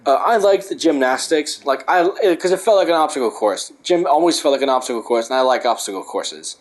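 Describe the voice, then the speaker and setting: Young adult man, mid-range voice going hoarse, reads aloud then rants off-script with plenty of swearing. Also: medium pitch, lively intonation, fairly quick. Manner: rambling, profane, ranting. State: losing his voice. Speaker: host. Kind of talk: reading a book aloud with casual commentary.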